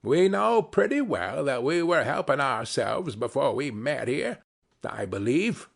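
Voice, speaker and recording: A man's voice: sing-song, measured pace, vocal fry, full character voice. A man voicing a coarse, greedy woman who cackles and mocks. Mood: defiant. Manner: shrill cackling laughter. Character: coarse scheming old charwoman, mocking and greedy.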